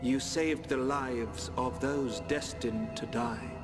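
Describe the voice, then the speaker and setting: A man voices a calm, enigmatic game guide who speaks like an oracle. His delivery is slow and solemn, every phrase measured, ringing out in a long hall reverb over clear music. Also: medium pitch, natural voice. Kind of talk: scripted video game cutscene acting.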